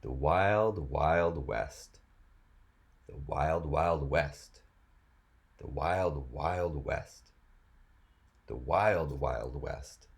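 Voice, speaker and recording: A man's voice: rumbling low, extremely slow, vocal fry, pauses often. A man reading rap lyrics aloud as spoken word, as host of the reading.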